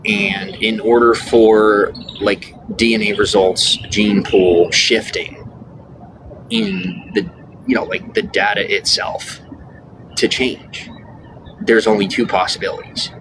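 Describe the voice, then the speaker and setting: A man talking in a meandering personal monologue, earnest and insistent, with many fillers and restarts. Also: lowish voice. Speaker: vlogger. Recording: clear background noise with birdsong and passing traffic.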